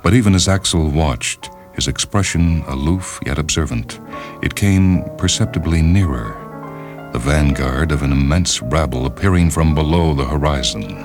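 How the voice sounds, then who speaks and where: A man reading a literary short story as a radio host, in a measured, deliberate and formal manner, on a 1970s radio recording. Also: extremely low pitch. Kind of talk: scripted literary narration. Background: music bed behind the reading.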